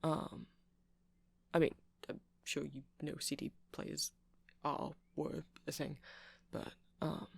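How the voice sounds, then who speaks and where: Slow, creaky-voiced shy nerdy shop clerk man acting. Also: fairly high pitch, flat delivery, no room sound, full character voice. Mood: flustered, eager. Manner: hesitant, awkward, apologetic, stammering, gentle. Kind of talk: ASMR roleplay, soft casual monologue to listener.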